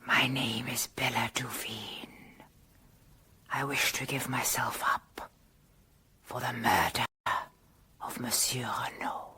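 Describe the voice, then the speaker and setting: Hushed, breathy, solemn young woman. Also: very deep voice, fairly even intonation, takes it slowly, long pauses, full character voice. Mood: solemn, resigned. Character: grave, resigned young woman surrendering herself, solemn. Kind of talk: radio drama acting.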